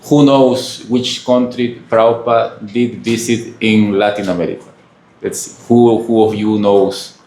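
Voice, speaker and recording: A man from Peru with a Peruvian Spanish accent, a native Spanish speaker, giving a halting spiritual lecture full of fillers and questions to the audience. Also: mid-range voice, a little mellow, slight background noise. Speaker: monk giving a talk. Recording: echoey room.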